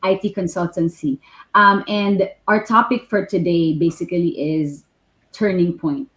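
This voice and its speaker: A woman's voice: lowish voice, fairly even intonation, a little slow, a bit bright. A woman with a Filipino accent, hosting a live online interview as the presenter. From Philippines. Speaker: host. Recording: echoey room.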